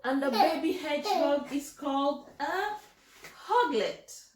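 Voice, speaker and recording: A woman's voice: high voice, expressive, slow pace, noisy background. A woman voicing an eager, curious young dog from a picture book, musing aloud and pleased with himself. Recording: roomy, echoing home recording.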